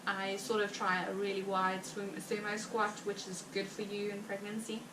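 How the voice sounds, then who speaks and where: A heavily pregnant woman with a South African Afrikaans accent, chatting casually to her viewers in a rambling way with many fillers and quick laughs. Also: mid-range voice, completely flat delivery. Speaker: vlogger. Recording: roomy, distant mic with background noise.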